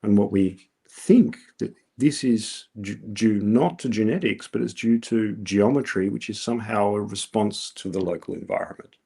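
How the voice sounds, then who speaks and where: A man's voice: lowish voice, normal speed, vocal fry, few pauses, dark tone, breathy edge. A middle-aged man with an Australian accent, giving a scientific presentation over a video call, explaining steadily with many ums and uhs. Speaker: researcher giving a colloquium talk.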